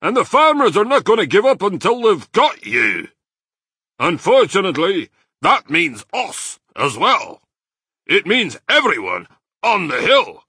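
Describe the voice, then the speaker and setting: A man voicing an excitable, despairing badger father in a children's storybook, blustering and emphatic. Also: medium pitch, takes it slowly, slightly rough, no room sound, studio quality, pitched above the performer's own voice, exaggerated voice. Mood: furious. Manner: blustering, agitated, emphatic delivery.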